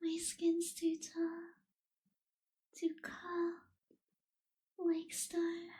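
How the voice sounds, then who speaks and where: Casting: actress for melancholy young female vampire, ASMR roleplay; very high, mellow, breathy whisper, wistful and hushed. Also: completely flat delivery, heavily acted. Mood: wistful, tender. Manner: slow, hushed, wistful, gentle pauses. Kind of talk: ASMR roleplay, soft whispered intimate delivery.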